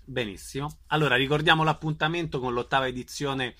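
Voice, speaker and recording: A man's voice: medium pitch, steady tone, quick pace, sharp tone. A man with an Italian accent hosting an informal radio interview and putting questions to a guest.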